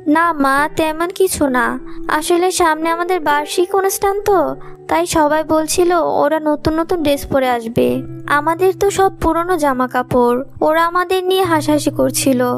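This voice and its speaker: Very high-voiced earnest schoolgirl played by a woman. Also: a little slow, soft background music. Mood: downcast. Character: poor, proud, dutiful young schoolgirl daughter. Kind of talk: cartoon voice acting.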